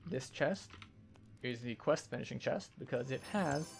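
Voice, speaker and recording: Man with a slightly gravelly voice, game walkthrough. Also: medium pitch, animated, a little slow, soft background music. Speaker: game tour guide narrator. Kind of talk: video game walkthrough commentary.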